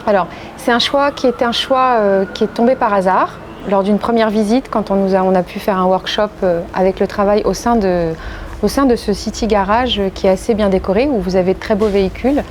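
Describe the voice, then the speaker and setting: Frenchwoman in a measured interview, noisy background. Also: mid-range voice. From France. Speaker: interviewee, corporate legal and compliance executive. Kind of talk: interview answers.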